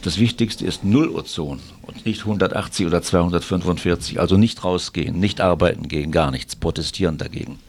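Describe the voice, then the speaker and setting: A man's voice: deep voice, fairly quick, few pauses, slight background noise. A man presenting a morning radio show in a casual live talking style.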